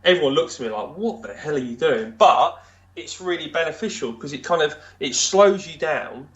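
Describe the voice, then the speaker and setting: Rambling man with a deep voice. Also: lively intonation, normal speed, highs cut off. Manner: rambling, many fillers and restarts. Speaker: podcast guest. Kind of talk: casual interview chat.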